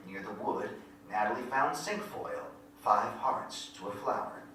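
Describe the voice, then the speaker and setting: A man's voice: fairly low pitch, animated, a little slow, sharp tone. A man playing a wistful country narrator looking back on boyhood, telling it lyrically with folksy rural grammar. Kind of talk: poetic first-person monologue in staged reading. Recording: very echoey hall, distant mic, faint noise.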